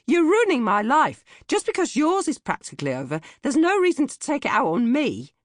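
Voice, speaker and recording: A woman's voice: high-pitched, huge pitch swings, a little fast, dry recording, studio quality, voice pushed up. A woman voicing a melodramatic, self-pitying fourteen-year-old British girl in a comic audiobook diary, complaining theatrically. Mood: indignant, sulky. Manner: exaggerated, indignant, theatrical complaining. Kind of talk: comic first-person audiobook diary narration.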